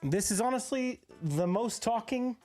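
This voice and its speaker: Streamer, hoarse after being sick, very lively swooping delivery, chatty and upbeat, soft music underneath. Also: medium pitch, measured pace. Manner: upbeat, greets viewers by name one after another. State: hoarse, recovering from illness. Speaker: live game streamer. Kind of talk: casual live-stream chat with viewers.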